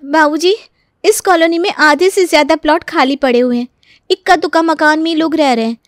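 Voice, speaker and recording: A smooth-talking young daughter-in-law, voiced by a woman for an animated family tale. The voice is high and closely recorded with no room sound, and the manner is hopeful, coaxing and full of reassurance as schemes are hatched. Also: fairly quick, dry recording, studio quality, natural voice. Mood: curious. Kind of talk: Hindi animated story dialogue.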